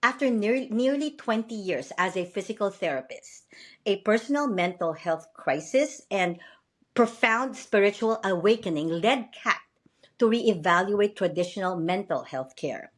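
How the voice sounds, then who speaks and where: A woman's voice: medium pitch, expressive, measured pace. A woman podcast host with a Filipino accent, speaking upbeat and laughing often on a video call. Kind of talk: podcast interview.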